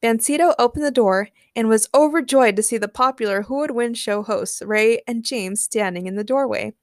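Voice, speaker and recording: A woman's voice: fairly high pitch, normal speed, no room sound, clean studio sound. A woman narrating a fan fiction story as a podcast host.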